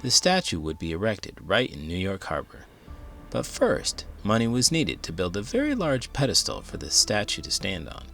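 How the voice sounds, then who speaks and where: A man's voice: fairly low pitch, gravelly, fairly bright tone. A man reading a children's book aloud as a host, with dramatic, emphatic delivery. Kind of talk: expressive storybook read-aloud.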